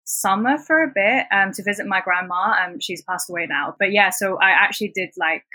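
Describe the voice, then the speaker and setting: A woman in her twenties from Hong Kong, a native Cantonese speaker, chatting as a podcast guest, full of "like" fillers and quick laughs. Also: medium pitch, bright, forceful tone, no echo. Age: 20s. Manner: chatty, many "like" fillers, laughs between points. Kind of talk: casual conversational interview.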